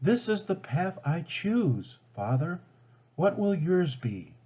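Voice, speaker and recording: A man's voice: fairly high pitch, very animated, takes it slowly, warm tone, slightly rough, lo-fi sound, pitched above the performer's own voice, exaggerated voice. A man voicing an earnest young woman, a chief's daughter in a storybook, heartfelt and pleading. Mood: defiant, heartfelt.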